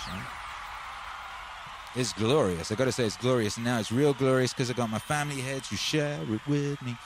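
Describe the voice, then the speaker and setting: A man from Wales, chatting casually as a stream host, rambling and upbeat with lots of fillers and shout-outs. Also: medium pitch. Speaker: livestream host. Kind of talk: casual chat.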